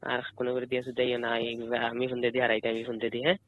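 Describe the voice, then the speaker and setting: A man's voice: medium pitch, monotone, rapid-fire. A man with a Sylheti Bengali accent talking casually and insistently on a private phone call, asking questions and repeating himself. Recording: muffled noisy phone call recording.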